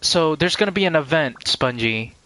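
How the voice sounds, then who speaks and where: A man's voice: on the high side, lively intonation, normal speed, crowd noise, low-quality recording. Casual, rambling man streaming a game. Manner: rambling, addresses viewers by name. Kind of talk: casual livestream chat over gameplay.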